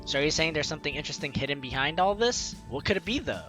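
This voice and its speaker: A man's voice: on the high side, expressive, racing. A young man hosting a let's play, reading game text aloud with informal, jokey asides. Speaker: host of a gaming let's play series. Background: game music.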